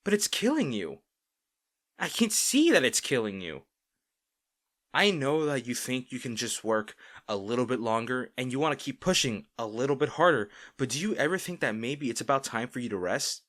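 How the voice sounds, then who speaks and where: A worried, loving boyfriend acted by a man in an intimate audio roleplay. His medium-pitched voice rises and falls a great deal as he earnestly pleads with an overworked partner. The delivery is emphatic and caring. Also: very animated, normal speed, fairly bright tone. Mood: worried, pleading.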